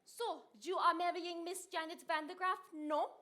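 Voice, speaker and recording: A woman's voice: very high voice, steady tone, normal speed, sharp tone. A woman playing a vain, glamorous bride who coyly poses as a Frenchwoman in a stage musical comedy. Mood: coy, fishing. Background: faint music.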